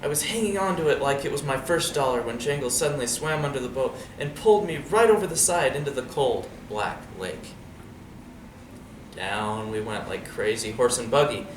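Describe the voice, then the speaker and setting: A man reading a storybook aloud to young listeners in an expressive, dramatic way. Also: medium pitch, normal speed. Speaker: storybook reader. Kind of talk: animated read-aloud story with asides to children. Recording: roomy, distant mic, noisy background.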